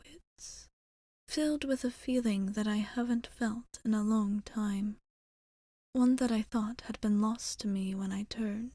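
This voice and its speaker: A woman playing a tender, old-souled vampire bartender in a whispered ASMR roleplay, speaking softly, deliberately and a little formally, with affection. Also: fairly high pitch, dry recording, clean studio sound. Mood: tender, confessing. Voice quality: soft, breathy whisper.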